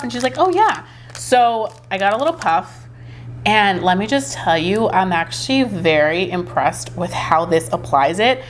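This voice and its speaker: A woman's voice: mid-range voice, slight background noise. Chatty woman, slightly congested from a cold. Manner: chatty, many fillers, enthusiastic. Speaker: beauty vlogger. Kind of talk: casual makeup review and demo.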